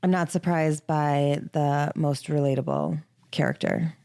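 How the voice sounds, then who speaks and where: A woman's voice: deep voice, steady tone, measured pace, dark tone. A woman co-hosting a podcast in a casual, informal conversation about books. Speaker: podcast co-host. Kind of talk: casual book-discussion chat.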